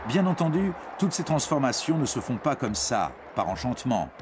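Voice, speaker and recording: A man's voice: medium pitch, big pitch swings, normal speed, background noise. A man narrates an educational cartoon script playfully, speaking directly to a young listener. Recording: prominent music behind narration. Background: music.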